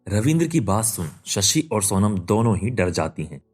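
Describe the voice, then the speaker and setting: Deep-voiced male story narrator. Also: big pitch swings, moderate pace, darkish tone, breathy edge, faint music behind. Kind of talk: scripted cartoon story narration.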